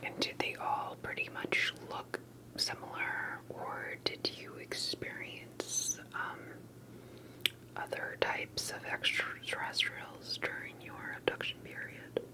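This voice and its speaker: A woman speaking in a soft, breathy whisper, gently and carefully asking questions in an ASMR interview roleplay. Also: takes it slowly, rough recording.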